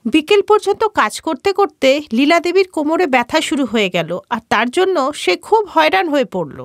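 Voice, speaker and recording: A woman's voice: high-pitched, big pitch swings, a little fast, vocal fry, no room sound, clean studio sound. A woman narrating a scripted moral tale for a cartoon, as the storyteller.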